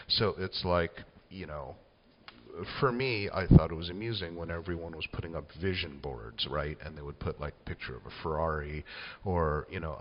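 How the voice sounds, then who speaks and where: A man in his sixties hosting a casual spiritual monologue, musing and unhurried, with many fillers like "you know" and "uh.". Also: low-pitched, a little hiss or hum. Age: 60s. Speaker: channel host. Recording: echoey, dull lo-fi room sound.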